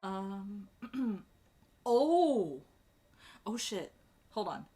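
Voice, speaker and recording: A woman talks casually over faint background music, rambling and restarting her sentences. Her pitch swings widely, jumping up high when something excites her. She sounds like a streamer thinking out loud at her desk. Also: very slow. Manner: animated, many fillers and restarts, bursts of excitement. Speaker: streamer ranking show characters.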